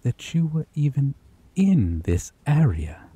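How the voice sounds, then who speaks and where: A man voicing a possessive, obsessive male vampire in an ASMR roleplay, speaking softly and menacingly and slipping into whispers. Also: a little high, sing-song, husky, no room sound, exaggerated voice. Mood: coaxing. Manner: slow, soft-spoken, menacing, drifts into whispers.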